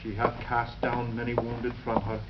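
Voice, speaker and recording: A man's voice: mid-range voice, monotone, normal speed, noisy background, lightly acted. A man playing a brooding, pious lodger in 1940s radio drama, solemnly intoning as he speaks. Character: brooding, pious male lodger reciting scripture. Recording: muffled 1940s radio recording.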